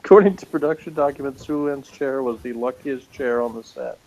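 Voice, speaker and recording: A man's voice: medium pitch, brisk, darkish tone, poor audio. A man co-hosting a casual review chat, reading jokey notes aloud in a dry, wry way.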